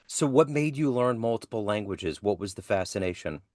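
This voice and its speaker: A man's voice: on the low side, expressive, moderate pace, a little mellow, no echo, clean studio sound. A man hosting a podcast interview, asking brief, curious questions.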